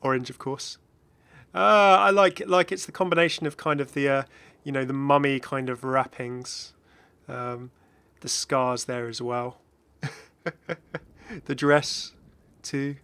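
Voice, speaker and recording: A man hosting a gaming video with casual, excited reaction commentary in a rambling, exclamatory way. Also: medium pitch, a little slow, smooth, dry recording. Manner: rambling, exclamatory, chuckling asides.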